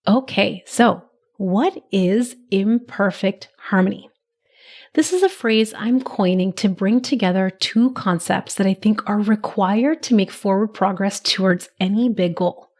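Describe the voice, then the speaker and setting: Slightly raspy woman podcast host. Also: medium pitch, a little mellow. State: raspy, recovering from a cold. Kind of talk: solo podcast monologue.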